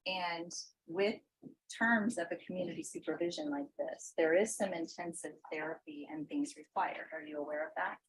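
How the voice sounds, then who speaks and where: A woman's voice: sits low, moderate pace, husky, low-quality recording. An American woman working as a defense attorney, questioning formally in a courtroom.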